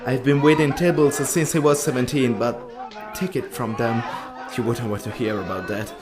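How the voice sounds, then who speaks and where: A man playing a friendly, gentlemanly ship's waiter in a radio play, polite and easygoing. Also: mid-range voice, steady tone, normal speed, over music, lightly acted.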